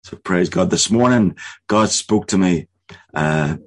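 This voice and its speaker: A man preaching a sermon, earnest and halting, with many restarts, slowing down to deliver what he hears as a word from God. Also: low-pitched, breathy edge. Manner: slow, emphatic delivery of spoken prophecy. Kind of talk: sermon with prophetic words.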